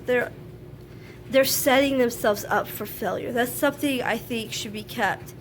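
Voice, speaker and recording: A woman's voice: a little high, slight background noise. A woman who sounds nasal from a sinus infection, talking frankly and chattily to camera about her views at home. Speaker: vlogger. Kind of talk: casual talk to camera. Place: at home, laundry running.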